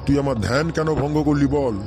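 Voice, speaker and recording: A man's voice: mid-range voice, steady tone, quick pace, over music, lightly acted. A man voicing a pompous, wrathful holy hermit in a comic cartoon, speaking in a declamatory way.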